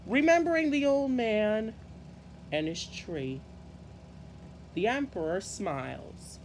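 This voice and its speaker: A man reading a children's story aloud in an expressive, deliberate way with halting phrasing. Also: high voice, slight background noise, poor audio. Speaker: storybook reader.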